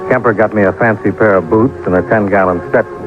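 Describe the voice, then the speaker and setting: A man's voice: fairly low pitch, fairly even intonation, quick pace, close to an everyday voice. An American man playing a wry, unflappable insurance investigator in an old-time radio detective drama, dry and casual. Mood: brisk narrating. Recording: dull old radio recording, music behind.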